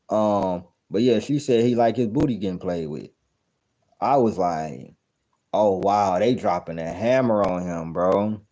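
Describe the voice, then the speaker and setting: A man in his thirties sounds off in casual, profane commentary, circling back to the same outraged points again and again. His voice sits low and mellow. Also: takes it slowly, pauses often. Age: 30s. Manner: blunt, profane and incredulous, repeating points. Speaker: reaction video host. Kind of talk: casual commentary reacting to a clip.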